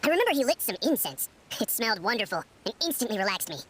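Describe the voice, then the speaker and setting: Game townswoman: haughty, dismissive, high and animated, rattling along very quickly. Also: slight background noise. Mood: insistent. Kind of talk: video game voice acting. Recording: loud game music behind voice.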